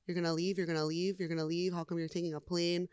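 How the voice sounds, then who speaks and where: A woman's voice: on the low side, flat delivery, fast, darkish tone. A young adult woman, a singer, talking casually in an interview, chatty with lots of "like" fillers and easy laughter.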